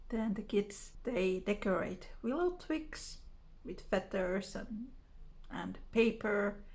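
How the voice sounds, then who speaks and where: A woman's voice: medium pitch, gravelly. A woman with a Finnish accent, a native Finnish speaker, chatting casually and unhurriedly to viewers in English as a vlogger. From Finland. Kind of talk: casual chat to camera, answering tag questions.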